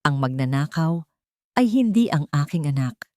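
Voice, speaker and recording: A woman voicing a vengeful, wealthy father who speaks in a measured, grave, accusing way in a melodrama. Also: deep voice, lively intonation, warm tone. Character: vengeful rich father, coldly menacing, judge-like man. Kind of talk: Tagalog melodrama story dialogue.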